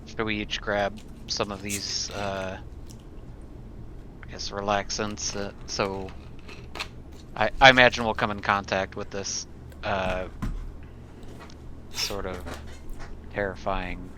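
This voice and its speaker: A man's voice: lowish voice, level tone, slow, pauses often, background noise. A man playing a tabletop roleplaying game, talking casually and a bit hesitantly as he thinks aloud.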